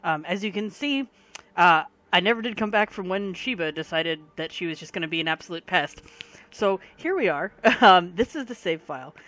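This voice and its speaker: A tired gaming video creator, short on sleep and fighting allergies, giving a casual rambling commentary full of pauses. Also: mid-range voice, expressive, a bit bright, dry recording. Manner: rambling, many pauses and fillers. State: voice affected by allergies.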